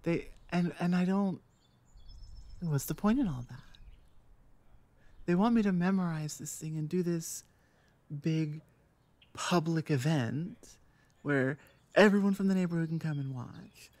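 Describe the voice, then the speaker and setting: A woman's voice: deep voice, a little mellow, no echo. Woman acting a rebellious young oni, dry close sound. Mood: exasperated, venting. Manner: exasperated, rambling, halting stop-start delivery. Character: rebellious young female oni venting about tradition. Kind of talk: roleplay audio acting, one-sided conversation.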